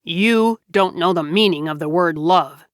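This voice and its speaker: A woman's voice: lowish voice, a little slow, no room sound, studio quality, close to an everyday voice. A woman narrator voicing a resentful young husband who answers curtly and crossly. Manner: curt and cross.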